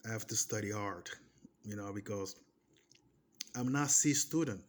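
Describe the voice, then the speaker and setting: A man's voice: lowish voice, a little slow. Portuguese-accented man, emphatic and repetitive. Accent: Portuguese-accented English. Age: 30s. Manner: emphatic and repetitive, restarting phrases and pressing points with "okay?". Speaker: vlogger. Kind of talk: casual personal monologue to camera.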